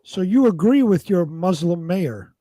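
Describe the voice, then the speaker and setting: A man's voice: high voice, animated, unhurried, mellow, dry recording. A man co-hosting a casual talk show, chipping in with wisecracks. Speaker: co-host.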